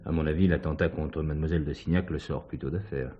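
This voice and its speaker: An actor playing an authoritative, level-headed police superintendent, measured and deliberate, in a French crime drama. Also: male voice, a bass voice, steady tone, muffled recording. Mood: pensive.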